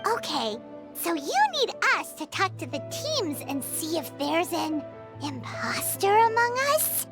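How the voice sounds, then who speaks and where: Squeaky, very high childlike fairy. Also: female voice, measured pace, exaggerated voice. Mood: inquisitive. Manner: animated, inquisitive. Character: small chirpy childlike female fairy companion, curious, eager. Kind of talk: video game character acting. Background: background music.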